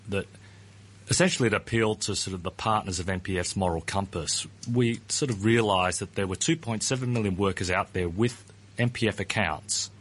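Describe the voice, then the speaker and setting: A man's voice: sits low, fairly bright tone. A man being interviewed as a guest about pensions. He hedges, often starts with "look" and repeats his words. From Australia. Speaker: pension ratings firm founder.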